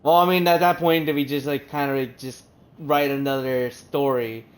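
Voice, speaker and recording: A man's voice: medium pitch, fairly even intonation, moderate pace. A man streaming a game live, reacting casually with rambling remarks, laughs and swearing. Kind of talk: casual live gameplay commentary.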